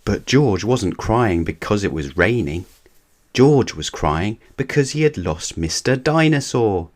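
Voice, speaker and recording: A man reading a children's picture book aloud in a gentle, measured bedtime manner. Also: on the low side, big pitch swings. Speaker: reader of children's books.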